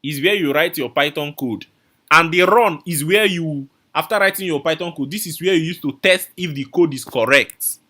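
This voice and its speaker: Man, online instructor, live class, bright tone, slightly high pitch, repetitive coaxing delivery. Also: moderate pace. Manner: repetitive, coaxing, calls out viewer names.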